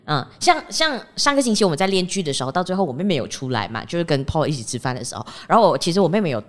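A woman podcast host with a Malaysian accent and a low voice. She talks quickly and casually, and her pitch moves around in a lively way. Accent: Malaysian Chinese-language.

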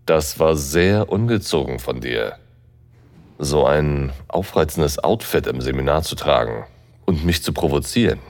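A man's voice: a bass voice, lively intonation, a little slow, darkish tone, no room sound, full character voice. A man playing a seductive, commanding university professor who narrates an intimate audio drama in the first person, sighing between phrases. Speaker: narrator and lead actor.

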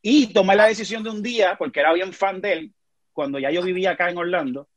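A man with a Puerto Rican accent, a podcast guest telling animated stories in a casual conversation. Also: high voice, quick pace, no echo. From Puerto Rico. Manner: animated storyteller, frequent restarts.

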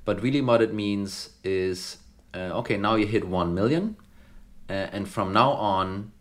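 A man co-hosting a business podcast, explaining growth math step by step with fillers. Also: sits low. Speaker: podcast co-host. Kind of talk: casual business chat. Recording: echoey clip, audio quality remarked.

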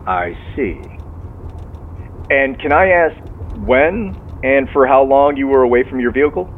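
A man's voice: medium pitch, expressive, a little slow. A man playing a genial sheriff's officer heard over the phone, folksy and courteous as he methodically probes, in audio drama dialogue. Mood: courteous, probing. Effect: phone line filter. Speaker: law enforcement officer. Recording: tinny phone line, car noise.

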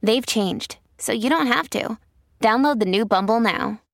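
A woman's voice: a little high, unhurried. A woman giving an upbeat, polished scripted ad read. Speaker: ad announcer.

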